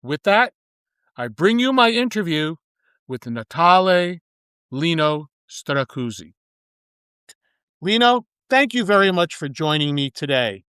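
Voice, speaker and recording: Smooth-voiced man hosting a podcast. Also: on the high side, big pitch swings, bright, forceful tone, dry recording. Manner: measured, formal delivery. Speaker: podcast host. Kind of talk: scripted intro then interview.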